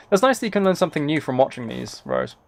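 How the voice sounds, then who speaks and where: A soft-spoken man livestreaming, chatting casually to viewers while he plays a game. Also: on the high side, wildly swooping pitch, fast. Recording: clear background noise, spraying game sounds. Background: game ambience and water spray.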